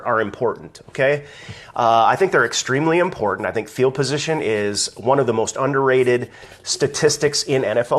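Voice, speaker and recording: Male stream host, slightly raspy from allergies, chatty and ranting, greets viewers by name, casual webcam delivery. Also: medium pitch. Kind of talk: casual live video chat.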